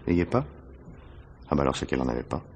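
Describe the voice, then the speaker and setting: An actor playing a blasé hospital intern in a 1950s-style French radio crime drama, offhand and unhurried. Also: male voice, rumbling low, big pitch swings, a little mellow, slight background noise. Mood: blasé, clinical. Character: blasé hospital intern, casually reporting on a patient.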